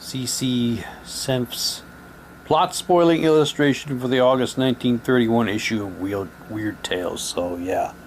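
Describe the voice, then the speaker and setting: A man's voice: mid-range voice, measured pace, slight background noise. A man doing a casual unboxing, talking in a rambling, repetitive way and reading printed items aloud. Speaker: hobby vlogger unboxing audio drama sets.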